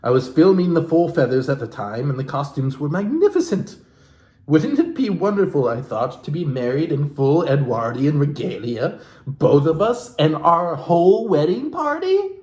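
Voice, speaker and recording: A man's voice: a little high, very animated, normal speed, a little mellow. A man reading a book aloud in a mocking, irreverent way, with casual, sweary asides. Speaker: reader and host of a comic book reading. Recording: roomy echo with background noise.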